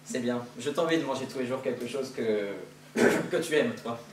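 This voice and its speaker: A student actor playing a sly, hungry male wolf who coaxes gently, heard from far off in an echoey school hall. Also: mid-range voice, moderate pace, a little hiss or hum, natural voice. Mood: coaxing. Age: teenager. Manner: gentle coaxing, feigned sweetness. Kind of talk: school stage play. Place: school stage.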